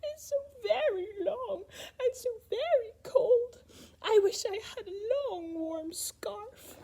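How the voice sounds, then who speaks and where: Woman putting on a high, plaintive sad giraffe. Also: lively intonation, dark tone, full character voice. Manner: drawn-out, plaintive, sniffly. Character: sad giraffe with a long cold neck.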